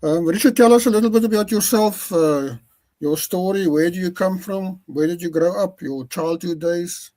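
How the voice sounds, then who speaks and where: Polite podcast host guy, lots of uhs, warm mellow tone, kinda high. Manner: polite, halting with many fillers. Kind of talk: formal interview hosting.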